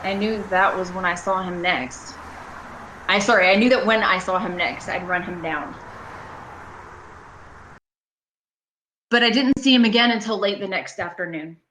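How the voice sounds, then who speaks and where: A woman's voice: medium pitch, normal speed, a bit bright, slight background noise, lightly acted, rough recording. A woman playing a haunted, anxious motorist in an amateur radio play, narrating her journey in an earnest, dramatic way. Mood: uneasy, brooding. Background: prominent music.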